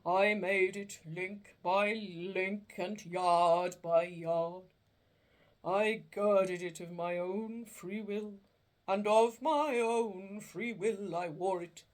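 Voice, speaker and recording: A woman voicing a doomed, chained male ghost, speaking slowly and solemnly in a storybook reading. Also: steady tone. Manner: slow, booming and drawn out.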